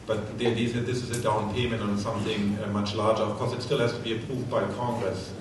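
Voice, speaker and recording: A man speaking haltingly as a panelist in an informal talk. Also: lowish voice, little pitch movement. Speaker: panelist, scientist. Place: large hall. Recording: very echoey hall, distant, noisy.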